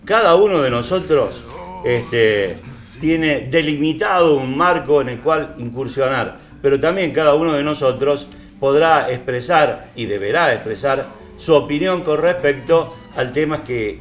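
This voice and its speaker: Echoey older Argentine man, halting. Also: medium pitch, lively intonation. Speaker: panel host on a regional TV talk show. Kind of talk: unscripted political discussion. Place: small TV studio at a panel table. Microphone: table microphone. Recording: roomy echo, faint music behind.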